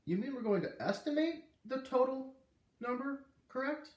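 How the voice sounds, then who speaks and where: A man's voice: very high-pitched, expressive, moderate pace, pitched above the performer's own voice. A man voicing an earnest, brainy schoolboy from a children's novel, speaking with careful precision. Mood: earnest questioning. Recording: roomy, distant microphone.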